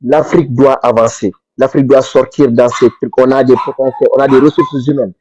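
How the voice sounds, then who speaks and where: A man's voice: medium pitch, fairly even intonation, a little fast, darkish tone, a little hiss or hum. A man from Senegal, giving an impassioned political speech with fervent, repetitive emphasis. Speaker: pan-African activist speaker. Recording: dull dry lo-fi recording.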